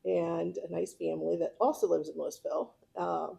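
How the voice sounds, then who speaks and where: A woman's voice: on the low side, steady tone, moderate pace, vocal fry, dark tone. A middle-aged woman from California with an American accent, chatting informally about herself to a club, often chuckling. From California, United States. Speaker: club member giving a personal talk. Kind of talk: informal autobiographical speech.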